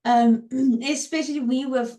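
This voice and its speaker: High-voiced woman with an Afrikaans accent. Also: fairly even intonation, measured pace, mellow, reverberant. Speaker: podcast host. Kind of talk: interview questions.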